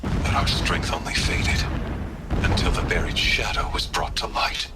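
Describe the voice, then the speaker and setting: An actor playing a proud, pitiless warrior zealot in a video game, delivering a solemn, grandiose monologue in formal archaic phrasing. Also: male voice, medium pitch, lively intonation, measured pace, heavy background noise. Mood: grim, devoted. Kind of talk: dramatic audio-log monologue in a video game.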